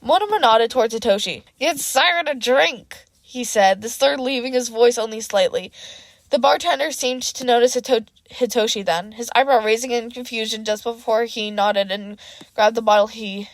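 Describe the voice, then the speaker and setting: A woman's voice: a little high, normal speed, no room sound. A woman reading a scripted story aloud as an amateur-style narrator, now and then correcting herself. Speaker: audiobook narrator of fan fiction.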